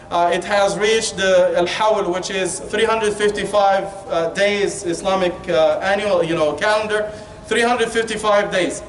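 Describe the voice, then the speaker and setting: High-pitched Egyptian preacher in an echoey room. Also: male voice. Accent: Egyptian Arabic. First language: Arabic. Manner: informal, colloquial, rhetorical questions, frequent "you know". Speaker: Islamic preacher giving a Ramadan talk. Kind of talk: conversational sermon with humour. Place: indoor Islamic centre hall. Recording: echoey room, distant mic, faint noise.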